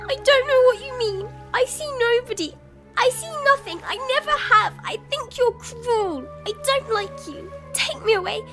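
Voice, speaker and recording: A petulant little girl in a gothic radio play. The voice is very high and childlike. Music plays clearly behind it. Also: expressive, normal speed. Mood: upset, defiant. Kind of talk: audio drama acting.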